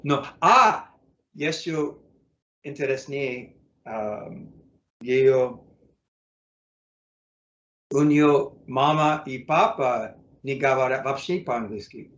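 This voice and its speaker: An American man, a native English speaker, speaking learner's Russian in a casual interview, halting with many fillers and self-corrections. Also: mid-range voice, a bit bright, roomy sound, muffled recording. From United States. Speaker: interview guest, English teacher.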